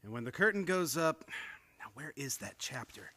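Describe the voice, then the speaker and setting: An actor playing an excitable young theatre critic who stammers and exclaims in old-time radio comedy style. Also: male voice, mid-range voice, moderate pace. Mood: wry. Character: excitable theatre critic nephew, horrified and sputtering.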